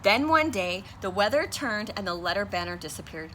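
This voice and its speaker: Expressive woman reading a picture book aloud. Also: mid-range voice, normal speed, slight background noise, rough recording. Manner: expressive, sounds out and spells words letter by letter. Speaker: teacher.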